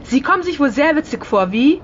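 Woman playing an indignant girl, fairly high. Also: expressive, brisk, highs cut off, slight background noise. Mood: defiant. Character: defiant, indignant big sister, a young girl. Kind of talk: radio drama acting.

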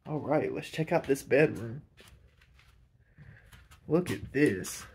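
A man's voice: mid-range voice, lively intonation, slow, a little hiss or hum. A man giving a chatty, enthusiastic walkthrough of an empty old house, recorded on a handheld camera mic. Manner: enthusiastic, chatty tour guide. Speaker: urban explorer host. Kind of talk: casual walkthrough narration.

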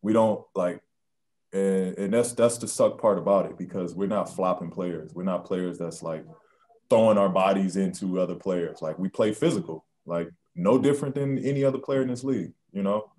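A man in his thirties answering postgame questions at a press conference, soft-spoken and halting with many ums and restarts, on a video call. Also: sits low. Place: press room with sponsor backdrop.

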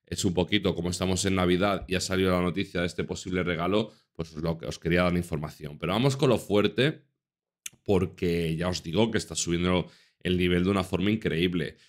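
A man in his thirties from Spain reads out news stories and comments on them to camera from a small room at home. His voice sits fairly low. He talks earnestly to his viewers and repeats his points for emphasis. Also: fairly quick. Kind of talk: casual commentary reading news articles.

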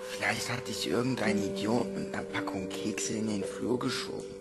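A man's voice: on the low side, normal speed, natural voice. A young man playing a tall, protective, skeptical orphan boy in a German audio drama, blunt and dry. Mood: dismissive, protective. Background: music.

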